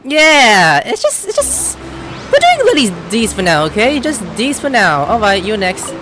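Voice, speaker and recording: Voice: medium pitch, sing-song, unhurried, faint music behind. A gamer giving casual, chatty commentary, muttering along to the game and its characters. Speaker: game streamer.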